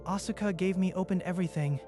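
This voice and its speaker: A man's voice: high-pitched, quick pace, music in the background, lightly acted. A man voicing an anxious, earnest young underdog in a dramatized manga dub, speaking with intensity. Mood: determined.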